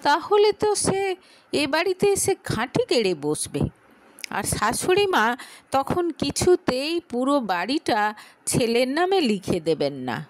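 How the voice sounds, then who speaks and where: A woman's voice: high voice, sing-song, takes it slowly, no room sound, low-quality recording. A woman narrating a dramatic scripted story as an audio storyteller.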